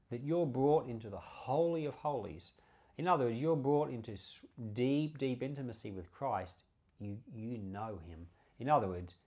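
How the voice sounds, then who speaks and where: A man speaks as a pastor sharing Bible teaching. His delivery is conversational and earnest, with a very lively pitch that rises and falls as he stresses and repeats key phrases. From Australia. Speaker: pastor, Bible podcast host. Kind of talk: informal Bible teaching and prayer.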